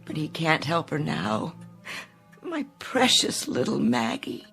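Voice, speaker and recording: Radio drama role: devoted, worried mother, weary gravelly delivery, faint music. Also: sits low, big pitch swings, slow, fairly bright tone, heavily acted. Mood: anguished, tender.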